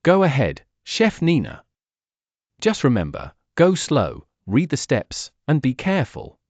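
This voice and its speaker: A man voicing a kind, encouraging mother who gently guides a child in a storybook. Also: medium pitch, huge pitch swings, unhurried, no echo. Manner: gentle, instructive. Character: kind, encouraging mother guiding her young daughter.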